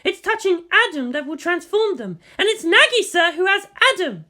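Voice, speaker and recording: A narrator doing a high, fierce female commander, forceful. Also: animated, moderate pace, exaggerated voice. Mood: furious, indignant. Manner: shouting, swearing, defiant. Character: fierce, furious female military commander defying betrayal.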